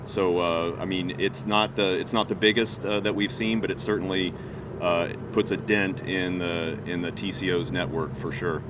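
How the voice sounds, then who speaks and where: A Coast Guard captain answering reporters' questions outdoors, halting, with many fillers and restarts. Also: male voice, low-pitched, fairly even intonation. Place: outdoor press event. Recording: loud outdoor traffic noise.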